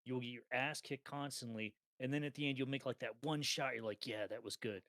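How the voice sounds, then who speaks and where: A man in his thirties, chatting on a gaming podcast at an even middle pitch. He tells lively stories, laughs often and swears freely. The sound is close and dry, with no room around it. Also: brisk, dry recording. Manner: laughs often, animated storytelling, swears casually. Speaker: co-host. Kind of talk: casual podcast chat.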